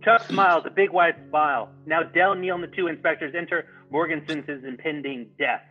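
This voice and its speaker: High, husky male stage-direction reader. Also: steady tone, moderate pace, dull audio, soft background music. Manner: informal, stumbles and ad-libs. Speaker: narrator reading stage directions. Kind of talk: scripted narration at a comedy table read.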